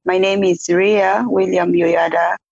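Soft-spoken young woman, dull dry audio. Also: on the low side, level tone, slow pace, slightly rough, no echo. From South Sudan, raised in Uganda. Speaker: podcast guest, peace activist. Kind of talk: interview.

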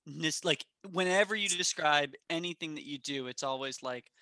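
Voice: mid-range voice, normal speed, pushed and bright, dry recording. An agreeable, joking speaker, chatting casually on a video call. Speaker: podcast cast member. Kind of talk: casual chat.

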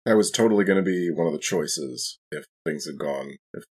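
Deep raspy guy playing a tabletop rpg, casually talking through his moves. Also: moderate pace, darkish tone. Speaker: tabletop game player. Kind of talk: casual game-table chat.

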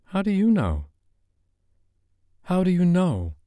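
A man's voice: high voice, huge pitch swings, slow, warm tone, no echo, voice pushed up, exaggerated voice. A man voicing a tense, defensive young woman who fires off clipped, suspicious questions.

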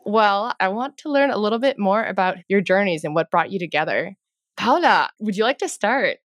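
A woman's voice: medium pitch, animated, normal speed. A woman hosting a conversational interview, upbeat and encouraging toward her guests. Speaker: host.

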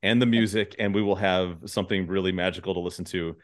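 Man, fairly low pitch, podcast host, casual joking chat, rambling, goofy enthusiasm. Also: fairly even intonation, fast talker.

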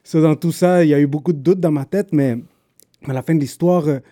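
A man's voice: fairly high pitch, a little fast, mellow, dry recording, studio quality. A man from Guatemala with a Quebec French accent, chatting casually as a guest and dropping English slang into his talk. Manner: laid-back street slang, mixes in English. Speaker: podcast guest, videographer. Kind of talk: casual chat.

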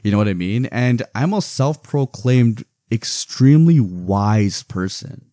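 A man in his twenties co-hosting a casual podcast, playful, swinging between loud excitement and low mumbling. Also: unhurried, no room sound, studio quality. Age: 20s. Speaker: podcast co-host. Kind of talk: casual chat.

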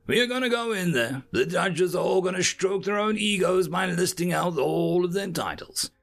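A man's voice: high voice, lively intonation, normal speed, gravelly, fairly bright tone, pitched above the performer's own voice, heavily acted. A man voicing a self-assured, airy and curt alien senior observer in a science fiction story. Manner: firm, dismissive.

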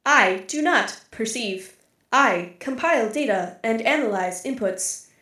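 A woman playing a literal-minded female AI archive computer in an audio drama, speaking in a flat, formal, deliberate way. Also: a little high, echoey room. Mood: impassive, matter-of-fact. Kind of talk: scripted audio drama acting.

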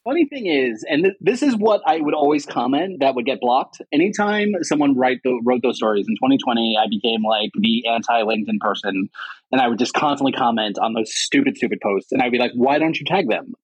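Husky man, dry close recording. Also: mid-range voice, expressive, brisk, dry recording, highs cut off. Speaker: podcast co-host. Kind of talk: casual chat and anecdotes.

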